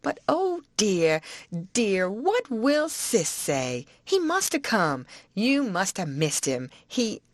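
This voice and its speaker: A woman voicing an excitable older farm wife who gushes in a breathless rush. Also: mid-range voice, slow pace, dry recording, clean studio sound, voice pushed up, full character voice. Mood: fretful. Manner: gushing, breathless chatter.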